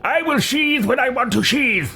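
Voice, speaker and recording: A man's voice: extremely high pitch, lively intonation, moderate pace, gravelly, over music, voice pushed up, full character voice. A man voicing a haughty, blunt, impatient wizard in a pompous, declamatory way for a game. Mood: defiant.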